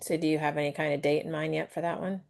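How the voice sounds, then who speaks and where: A woman's voice: deep voice, level tone, quick pace, creaky, darkish tone. A woman council member asking short, direct questions during a budget workshop. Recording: distant from the mic.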